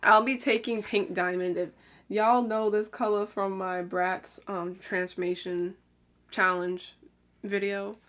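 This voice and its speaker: A woman's voice: medium pitch, level tone, slow. Chatty young woman doing makeup. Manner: chatty, rambling, lots of "like" and "um"; talks while applying makeup, drifts quieter then brighter. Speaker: beauty vlogger. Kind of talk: casual get-ready-with-me makeup chat.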